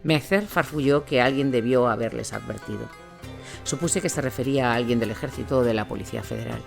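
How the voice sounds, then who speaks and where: A man narrates a scripted war account. His voice sits at a middle pitch. Music plays underneath the reading. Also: brisk, vocal fry. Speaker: narrator of an audio drama.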